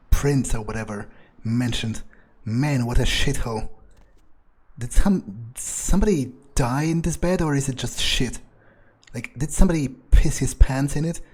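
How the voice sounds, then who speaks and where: A man's voice: medium pitch, measured pace, a little mellow, breathy edge. A man improvising in-character commentary over a video game, thinking aloud in rambling, crude asides. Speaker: gaming video creator playing in character.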